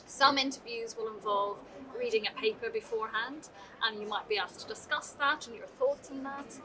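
A middle-aged woman in charge of student programmes gives applicants clear, practical pointers. Her voice sits a bit high, sounds somewhat far from the mic, and carries a busy murmur of people behind it. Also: moderate pace. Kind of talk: answering interview questions to camera. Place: large open atrium, indoors. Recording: distant voice with crowd chatter behind.

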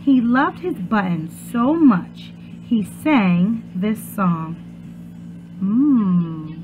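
A woman's voice: mid-range voice, expressive, drawn-out words, soft background music. A young woman speaking as a preschool teacher, reading aloud to children in a sing-song way and asking them questions. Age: young adult. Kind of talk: children's read-aloud lesson. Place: small room at home. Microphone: webcam or laptop at arm's length. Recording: muffled phone-like webcam sound, loud noise.

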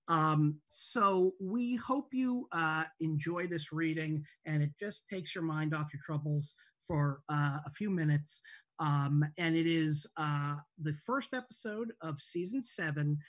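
Low-pitched woman, halting informal intro. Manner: hesitant, halting. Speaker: TV show creator introducing a table read. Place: indoors at home on a video call. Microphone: video call microphone.

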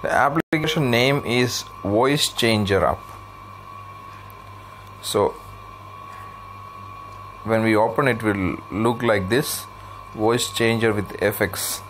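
Man, slow pace, tech tutorial voice-over, unscripted, faint background noise. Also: mid-range voice, fairly bright tone, rough recording. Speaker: app tutorial host.

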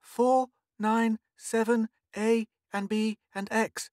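A narrator voicing a bossy, excitable young girl in a story, impatient and earnest. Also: medium pitch, unhurried, dry recording, clean studio sound, voice pushed up, natural voice. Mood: serious, intent.

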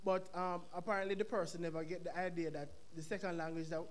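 A young man with a Jamaican accent asking a question from the floor of a lecture hall, telling his story in an animated way. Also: high-pitched, fast talker. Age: young adult. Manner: animated storytelling, quoting others. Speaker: audience member asking a question. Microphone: stand microphone in audience.

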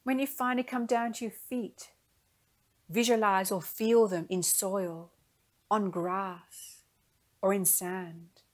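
A woman guides a meditation at a very slow, unhurried pace. Her mid-pitched voice is gentle and soothing, letting each soft phrase settle before the next. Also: steady tone.

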